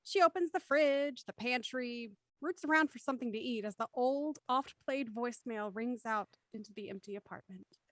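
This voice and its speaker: A woman reading scripted stage directions for an audio drama in a crisp, matter-of-fact way. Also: fairly high pitch, moderate pace, few pauses, a bit bright, dry recording, rough recording. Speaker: narrator.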